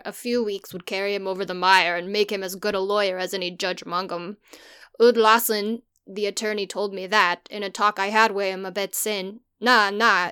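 A woman's voice: medium pitch, bright, forceful tone, clear, resonant tone, lightly acted. A woman voicing a worried old farmer. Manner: slow, earnest, broad dialect.

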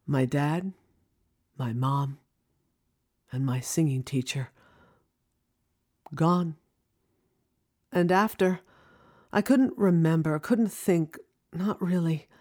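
Woman narrator, slightly low pitch, unhurried, reflective, intimate scripted storytelling. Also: darkish tone. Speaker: writer, performer and narrator. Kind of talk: scripted first-person dramatic narration.

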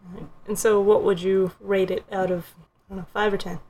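A woman's voice: medium pitch, level tone, a little fast, mellow, a little hiss or hum. A woman hosting a casual movie review podcast, speaking informally and steering the conversation. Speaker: podcast host.

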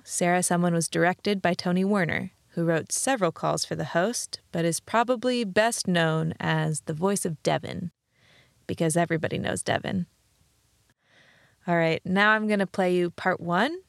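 A woman's voice: on the low side, moderate pace, creaky, no echo, clean studio sound. A woman podcast host reading a scripted introduction and credits in a conversational way.

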